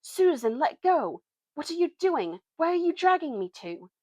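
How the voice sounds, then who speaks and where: Woman acting a breathless, plucky English schoolboy, raised pitch. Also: big pitch swings, normal speed, a little mellow, dry recording, clean studio sound. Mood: indignant. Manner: breathless, emphatic.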